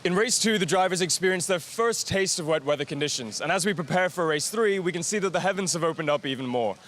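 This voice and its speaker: A man reading scripted narration for a motor racing highlights show. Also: animated, fast, fairly bright tone.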